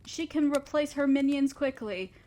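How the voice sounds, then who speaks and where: A haughty evil queen from a fantasy audio roleplay, acted out by a woman. Her voice sits on the high side for a woman. She addresses her underling with imperious, theatrical authority, as a ruthless sorceress guarding her empire. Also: moderate pace, dark tone, natural voice. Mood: imperious, impatient. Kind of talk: scripted one-sided fantasy audio roleplay.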